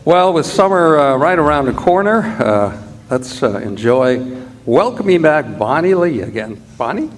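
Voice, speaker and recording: A man's voice: fairly high pitch, big pitch swings, a little slow, slight background noise. A man announcing like an old-time radio host, delivering sponsor copy in an emphatic, salesman-like manner. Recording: hall reverb, live stage show.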